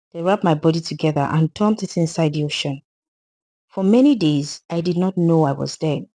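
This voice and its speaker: A woman's voice: on the low side, dark tone. A woman voicing the sorrowful, wronged ghost of a murdered widow in a dramatised folktale, plaintive and earnest, with a Nigerian accent.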